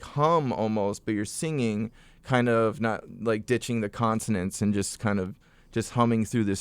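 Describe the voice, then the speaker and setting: A man's voice: fairly low pitch, clean tone, no echo. A young adult man, a musician and vocal coach, chatting casually as a podcast guest with lots of fillers and restarts. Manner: relaxed storyteller, many fillers and restarts.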